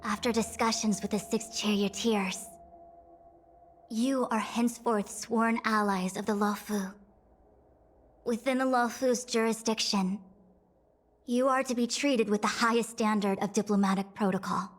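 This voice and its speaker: A woman voicing a dignified, authoritative young diviner and acting general in a video game, speaking formally and with measured eloquence. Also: fairly high pitch, steady tone. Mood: solemn, official. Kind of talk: video game character dialogue.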